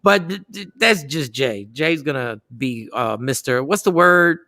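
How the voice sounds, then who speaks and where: A man's voice: on the high side, expressive, unhurried, sharp tone, dry recording, clean studio sound. A man hosting an internet radio show, chatting casually and rambling, now and then breaking into sound effects.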